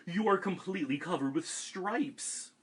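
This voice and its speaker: Storybook mom, shrill and loud, fussy and alarmed — a man puts her on in a husky voice. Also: lively intonation, a little slow, exaggerated voice. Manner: high shrill alarm then stern orders. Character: alarmed fussing mother, woman.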